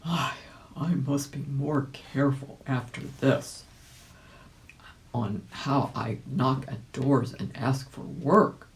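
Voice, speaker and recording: A woman voicing a plucky, good-natured old gentleman rabbit, musing earnestly in a storybook reading. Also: extremely low pitch, slow. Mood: cautious, hopeful.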